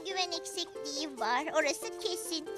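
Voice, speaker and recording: Someone doing a tiny squeaky cute cartoon buddy, curious n playful, takes its time, loud music under it. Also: moderate pace, lightly acted. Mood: chirpy. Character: Yoko, small cute playful cartoon friend, curious, cheeky. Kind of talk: children's cartoon dub. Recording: music playing behind the voice.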